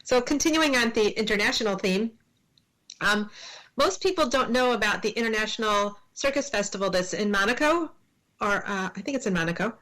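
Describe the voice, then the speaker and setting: A woman's voice: mid-range voice, animated, brisk, a bit bright. A woman hosting a podcast interview, asking friendly, encouraging questions.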